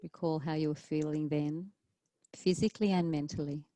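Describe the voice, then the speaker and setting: A woman with a low, soft-edged mellow voice guides a relaxation class as a yoga teacher. Her delivery is calm and soothing, with long pauses between gentle instructions. The sound is very dry and close, with no room around it. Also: dry recording.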